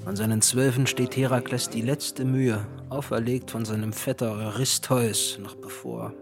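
An actor playing a grave narrator of Greek tragedy, recounting solemnly in formal verse for a radio drama. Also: male voice, medium pitch, fairly even intonation, moderate pace, music in the background, natural voice. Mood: grave, ominous.

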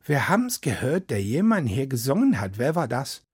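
Polite, eager strangers in green, as a man voices them ask politely to come in, their lines lifted a little above his telling voice. The sound is a touch husky and dry. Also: medium pitch, big pitch swings, moderate pace, no echo. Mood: friendly. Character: group of odd men dressed in green, friendly.

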